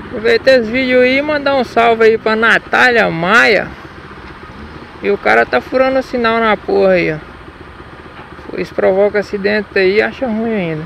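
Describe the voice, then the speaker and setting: Slangy young Brazilian motovlogger, gravelly and high. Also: male voice, low-quality recording. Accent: Brazilian Portuguese, Minas Gerais. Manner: slangy, swears at drivers, irritated outbursts. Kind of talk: casual running commentary while riding. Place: city streets outdoors on a motorcycle. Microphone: helmet or action camera mic. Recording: loud road and engine noise.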